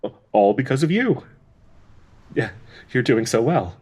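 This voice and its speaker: A man playing a gentle, devoted dryad tree spirit in an intimate audio roleplay, anxiously hopeful, halting and stammering tenderly with nervous soft laughs in a breathy voice. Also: medium pitch, very animated. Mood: hopeful, encouraging.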